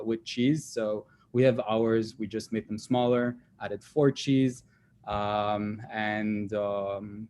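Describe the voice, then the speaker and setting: A man's voice: fairly low pitch, a little mellow. Hesitant man founder. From Belgium. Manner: hesitant, many fillers and restarts. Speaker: startup founder. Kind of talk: livestream interview.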